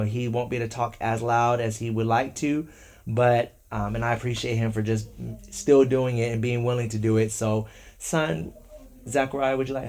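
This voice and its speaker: A man's voice: mid-range voice, a little mellow. A man hosting a devotional podcast, speaking affectionately and encouragingly and often repeating his phrases. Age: adult, parent of three. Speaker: podcast host. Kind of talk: encouraging devotional talk with children.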